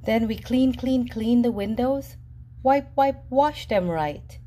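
A woman reading a children's picture book aloud in a lively, sing-song storybook voice with playful jumps in pitch. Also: slow pace, slight background noise. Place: indoors at a table, reading an open book. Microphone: camera above the book, reader unseen.

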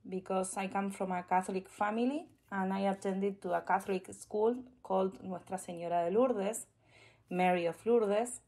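A woman from Argentina with an Argentine Spanish accent, a native Spanish speaker, sharing a personal faith testimony in careful, halting English, nervous and shy. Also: mid-range voice, darkish tone.

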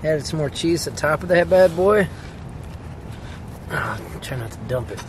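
Excitable man in a windy tent. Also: fairly high pitch, normal speed. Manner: excitable, exclaiming, joking. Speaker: camping vlogger. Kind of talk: casual solo vlog narration. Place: inside a tent in windy woods at night. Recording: wind and outdoor noise behind.

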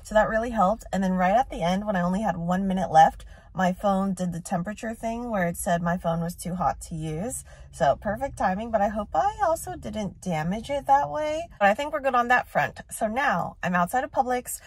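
A woman's voice: mid-range voice, lively intonation, brisk, hardly any pauses. A young woman in her twenties chatting upbeat to her phone camera as she vlogs her day, thinking aloud as she goes. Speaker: vlogger. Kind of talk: casual vlog chat. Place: car, store and home kitchen. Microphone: phone camera at arm's length.

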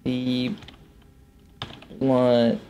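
Male gaming host, thirties, halting and mumbled think-aloud delivery, very slow, with some gravel in the voice. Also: medium pitch, monotone, dark tone, a little hiss or hum. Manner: halting, muttering to himself as he thinks. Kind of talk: casual gameplay commentary, naming discoveries aloud. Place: small indoor room at a computer.

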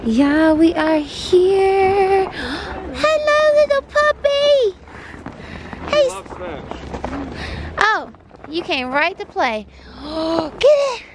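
A woman's voice: very high voice, lively intonation, drawn-out words, long pauses, roomy sound. A young woman chatting playfully to her handheld camera as she films a trip vlog. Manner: chatty, playful, self-filming. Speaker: vlogger. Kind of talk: casual vlog chat. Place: rental house and outdoors in snow. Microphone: handheld camera at arm's length. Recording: noisy handheld camera audio.

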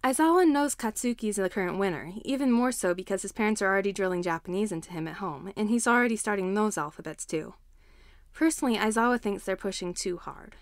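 A woman's voice: medium pitch, no echo. Woman narrating a story, dry close sound. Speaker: fan fiction narrator. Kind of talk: expressive scripted story narration.

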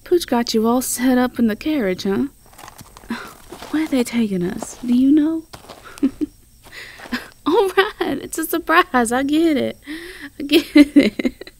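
A woman's voice: high voice, lively intonation, slow pace, pauses often, a little mellow, a little hiss or hum, exaggerated voice. A woman playing a sweet, flustered Southern belle girlfriend in an intimate roleplay audio drama, with a Southern US accent and an affectionate, stammering delivery. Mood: playful, teasing.

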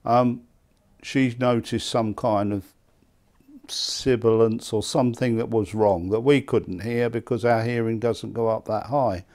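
A man in his seventies with an English accent, reminiscing conversationally in an interview, halting and repeating words. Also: mid-range voice, fairly even intonation, a little mellow. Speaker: loudspeaker maker being interviewed. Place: small indoor hi-fi demonstration room. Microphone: lapel mic.

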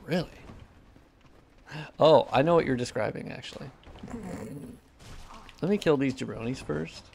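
A man's voice: mid-range voice, a little slow, gravelly, darkish tone, a little hiss or hum. A young man with a nasal voice chatting casually while he plays and mods a game, cursing freely and answering chat questions. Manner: profane, reads chat questions aloud. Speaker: modder streaming gameplay.

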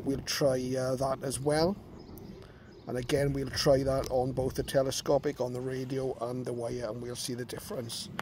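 A man's voice: a little high, a little mellow. A man casually demonstrating a radio antenna outdoors in a garden, recorded on a phone, thinking aloud with fillers and restarts. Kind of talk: casual hands-on demo narration. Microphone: phone held near the radio. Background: radio static and station audio.

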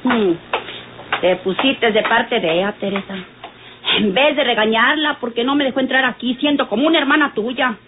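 A woman's voice: fairly high pitch, normal speed, close to an everyday voice. An actress playing a touchy, nosy, folksy working-class Mexican woman who scolds, in an old radio drama. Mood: hurt, reproachful. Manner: quarrelsome, folksy speech. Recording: tinny, noisy vintage radio recording.

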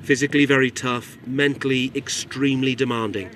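A man reading a scripted news report voice-over in a measured, clear way. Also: mid-range voice, steady tone, a bit bright.

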